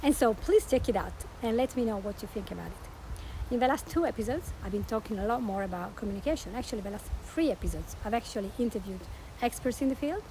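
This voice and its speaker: A middle-aged woman with an Italian accent speaks earnestly to her audience, her voice sitting a little high and lifting often for emphasis. The recording carries a clear hiss of background noise. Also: animated, fast, warm tone, low-quality recording. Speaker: podcast host and life coach. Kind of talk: promotional monologue.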